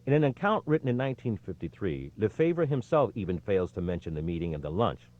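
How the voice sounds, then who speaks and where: A man with a lowish voice narrates an audiobook in a scripted, storytelling read. His intonation swings widely, with emphatic phrasing. The audio is slightly dull and lo-fi. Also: sing-song, fast talker. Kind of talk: scripted narration, reading a history book aloud.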